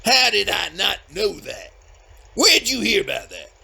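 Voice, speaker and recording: A man playing a bossy, dim-witted Southern backwoods older brother in an audio comedy, with a folksy drawl and a raspy, strained voice. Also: high voice, very animated, a little slow, full character voice. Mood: indignant. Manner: folksy, loud, scolding, ungrammatical drawl. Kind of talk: audio comedy-horror acting.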